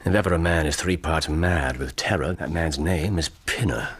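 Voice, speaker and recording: An actor in a radio drama playing a keen, commanding English gentleman detective with a clipped, analytical manner. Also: very deep voice, moderate pace. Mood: puzzled, analytical. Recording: radio drama with clear background noise.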